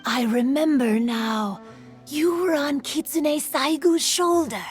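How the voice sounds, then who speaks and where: A woman's voice: sits high, takes it slowly, faint music behind. A woman playing a guarded, earnest young yokai spirit in a video game, explaining herself wistfully. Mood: hesitant, wistful. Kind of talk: animated video game voice acting.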